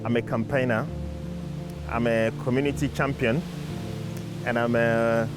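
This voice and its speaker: A man whose first language is French speaks as a community campaigner in a documentary interview. His talk is earnest, measured and reflective, at an ordinary middle pitch. Clear music runs underneath the whole time. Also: fairly even intonation.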